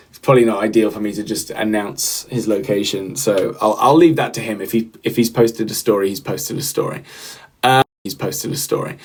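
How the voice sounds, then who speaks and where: A young man, a podcast host and singer, rambling casually on a livestream with lots of fillers and restarts while he reads the chat. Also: medium pitch.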